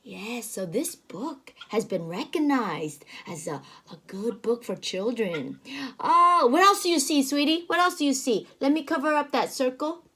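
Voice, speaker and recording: A woman reading a picture book aloud to a young child, animated and gasping, stopping often to ask the child questions. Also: high voice, a little slow, breathy edge.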